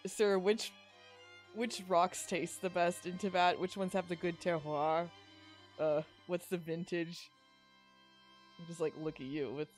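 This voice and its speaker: A man co-hosting a podcast, giving casual commentary on a game with wry, jokey asides. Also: a little high. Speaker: podcast co-host. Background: game music.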